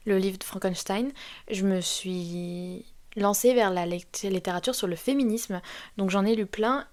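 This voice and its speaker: Young woman, from France, casual, rambling, filler-heavy, mid pitch. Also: a little mellow. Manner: chatty, rambling with many fillers and restarts. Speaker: book vlogger. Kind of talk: casual advice chat to camera.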